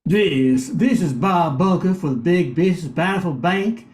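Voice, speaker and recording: A man's voice: sits high, lively intonation, moderate pace, a little mellow, echoey room, slight background noise. A man playing a pompous, gloating banker villain in an old-time radio play. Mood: menacing. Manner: pompous, menacing, theatrical.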